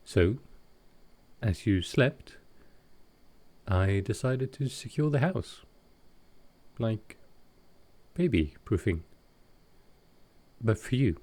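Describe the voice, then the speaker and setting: A man playing a gentle, awkward male vampire in an intimate comfort roleplay, speaking tenderly and haltingly. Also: on the low side, expressive, heavily acted. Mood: apologetic, caring. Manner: halting, hesitant, tender, soft-spoken.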